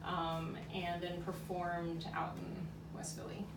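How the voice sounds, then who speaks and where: Female puppeteer, informal intro, very slow pace, echoey outdoor sound with clear noise. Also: on the low side, completely flat delivery. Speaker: puppeteer introducing her show. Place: outdoor courtyard. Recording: distant, echoey live taping with background noise.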